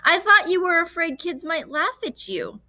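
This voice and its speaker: A woman's voice: extremely high pitch, big pitch swings, normal speed, sharp tone, no echo, voice pushed up, full character voice. A woman voicing a curious, cheerful, spirited little girl with a perky manner in a children's storybook.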